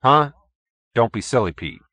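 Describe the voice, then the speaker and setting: A man's voice: mid-range voice, animated, a little slow, sharp tone, dry recording. Man acting a brusque, skeptical newspaper boss. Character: gruff, exasperated, skeptical newspaper managing editor.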